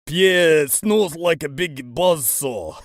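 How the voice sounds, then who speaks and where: A man's voice: fairly high pitch, lively intonation, extremely slow, no room sound, clean studio sound. A man voicing a dim, obliging crook's henchman in a cartoon comic dub, hesitant and deferential. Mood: bluffing.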